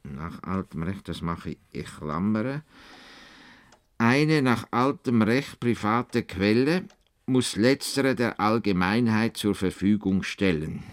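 Slow, deep-voiced farmer-philosopher. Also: male voice. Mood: earnest, pondering. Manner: deliberate, pondering, self-correcting. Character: dreamy, self-assured farmer-philosopher, male, earnest world-improver. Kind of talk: radio play acting.

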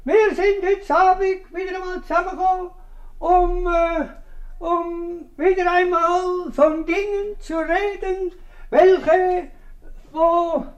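A man playing a pompous, flustered judge with a Swiss German accent, halting and stammering in a 1950s radio comedy. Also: extremely high pitch, steady tone, dull audio, full character voice. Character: pompous, flustered male judge rehearsing a moralising speech.

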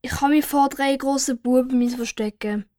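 A woman's voice: sits high, steady tone, normal speed, dark tone, close to an everyday voice. A woman playing an indignant, stubborn young schoolboy in a children's audio story. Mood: subdued, worried. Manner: defiant, childlike.

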